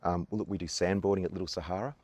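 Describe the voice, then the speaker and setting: An Australian tour guide speaks at a brisk clip in a deep, low voice. He talks with earnest enthusiasm and comes back to the same praise again and again. The recording is dry, with no room echo. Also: fairly even intonation, darkish tone, slightly rough. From Adelaide, South Australia. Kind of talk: casual interview answers. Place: outdoors on an island.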